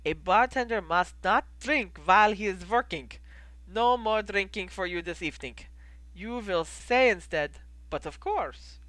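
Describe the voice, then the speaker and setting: Storybook innkeeper, acted by a reader: ageing foreign man, encouraging and patient, animated, bright voice. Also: mid-range voice, a little slow, dry recording, pitched above the performer's own voice, low-quality recording. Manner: encouraging, instructive, formal phrasing.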